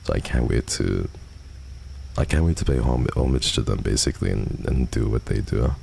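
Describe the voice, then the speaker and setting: A man's voice: rumbling low, normal speed, gravelly, darkish tone. A man hosting a livestream, chatting casually and answering viewer messages at a close microphone. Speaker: livestream host. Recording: faint background noise.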